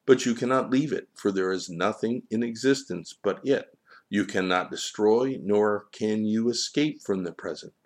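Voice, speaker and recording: Wise boy sage, played by a male narrator: stern, solemn, low register, firm storybook admonishments. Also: moderate pace, darkish tone, lightly acted. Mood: solemn, admonishing. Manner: stern, declarative, repetitive, solemn. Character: stern, wise, all-knowing boy child, calmly admonishing. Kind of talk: storybook character dialogue.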